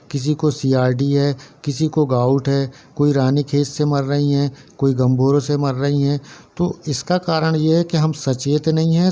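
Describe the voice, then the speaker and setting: A man's voice: on the high side, dark tone. A man who hosts a poultry farming channel, speaking casually and earnestly to his viewers, explaining step by step and listing items one after another. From central India. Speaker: poultry farmer and channel host. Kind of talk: casual instructional talk to camera.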